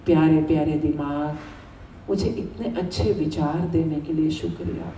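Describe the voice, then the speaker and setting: A woman with an Indian accent leading a guided gratitude meditation, speaking soothing affirmations in deliberate, repeated phrases with pauses. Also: low voice, fairly even intonation, warm tone. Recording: roomy echo, distant mic, faint noise.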